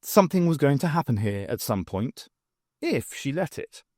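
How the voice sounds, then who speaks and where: A man reading fiction aloud as an audiobook narrator, in an expressive storytelling style. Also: medium pitch, normal speed, no room sound, clean studio sound.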